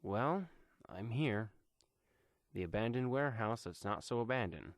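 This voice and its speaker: Superhero story lead acted by a man: stubborn young male vigilante, resolute, plain-spoken, lowish pitch, dry sound. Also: lively intonation, a little slow, no room sound. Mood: matter-of-fact.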